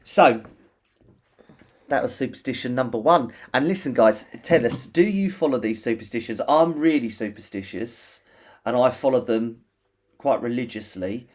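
A man's voice: mid-range voice, expressive, normal speed, darkish tone. A British man co-hosting a casual podcast, chatting with enthusiasm and telling stories. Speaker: podcast co-host. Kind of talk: casual chat.

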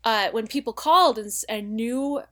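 A woman's voice: fairly high pitch, normal speed, fairly bright tone. An American woman from Utah speaking as an interview guest, explaining things in an emphatic, colloquial way on a casual podcast. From Utah, United States. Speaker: guest, former congressional aide.

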